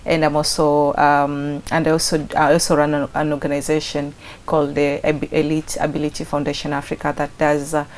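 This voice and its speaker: A young adult woman with a Kenyan coastal accent, talking in a chatty, animated way as an interview guest. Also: low voice, a little fast, slight background noise. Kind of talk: casual interview.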